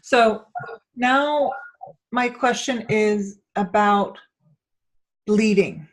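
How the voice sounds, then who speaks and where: A woman's voice: medium pitch, extremely slow, low-quality recording. Hesitant woman podcast host from Denmark. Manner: hesitant, rambling, searching for words, thinking aloud. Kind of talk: conversational interview.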